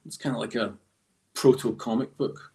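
Male podcast guest, gravelly mid-pitched voice, reflective halting storyteller. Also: mellow. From Scotland. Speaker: guest comic artist. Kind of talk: conversational storytelling in a podcast interview.

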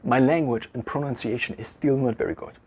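A man speaking English with a German accent, thinking aloud to a camera in an empty room, halting and correcting himself as he goes. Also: medium pitch, steady tone, darkish tone, husky. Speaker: vlogger. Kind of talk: casual reflective monologue to camera.

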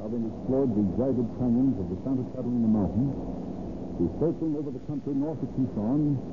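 A man's voice: mid-range voice, brisk, noisy background. A man giving grandiose, dramatic scripted narration in the style of a vintage radio crime program. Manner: grandiose, florid delivery. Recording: tinny old radio recording. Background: music.

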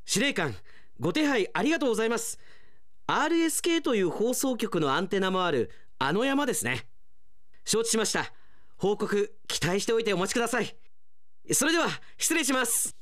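A man's voice: sits high, sing-song, brisk, fairly bright tone, no room sound, studio quality. A man playing a hapless, anime-obsessed alien agent in human disguise, muttering to himself melodramatically in a radio drama. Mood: deferential.

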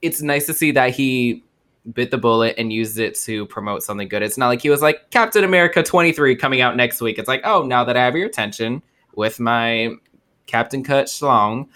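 A man co-hosting a casual podcast, talking in an animated way and joking crudely. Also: mid-range voice, brisk. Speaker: podcast co-host.

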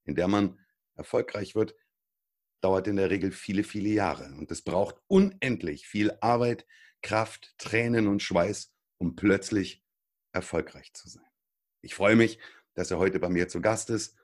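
Low-voiced enthusiastic German podcast host. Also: male voice, unhurried. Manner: upbeat, enthusiastic intro delivery. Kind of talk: podcast interview.

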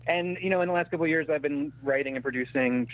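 A man's voice: fairly high pitch, fast. Male interview guest, candid and conversational, self-correcting as he talks, narrow phone-like audio. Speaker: actor-writer, interview guest. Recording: thin phone-like radio sound.

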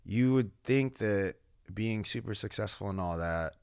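Low-voiced man chatting, dry close sound. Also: measured pace, smooth, no echo. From Guam and California, born in the Philippines. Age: 30s. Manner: slangy, animated storytelling with quoted asides. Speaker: podcast guest. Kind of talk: casual podcast chat.

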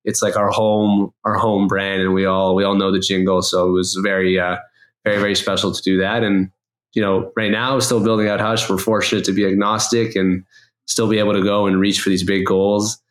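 A man's voice: low-pitched, flat delivery, brisk, roomy sound. A man with a Canadian accent talking casually and at length as an interview guest. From Toronto, Canada. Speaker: podcast guest, company co-founder.

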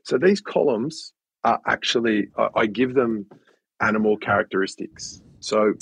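A man's voice: lowish voice, moderate pace, vocal fry, fairly bright tone, husky, no room sound. A man on a casual podcast who mentors students and athletes and explains things steadily, often restarting his sentences.

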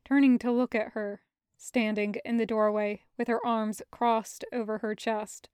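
Female fiction narrator, unhurried pace, slightly high pitch, scripted audiobook reading, dry close sound. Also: little pitch movement, darkish tone, smooth, no echo. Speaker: podfic narrator.

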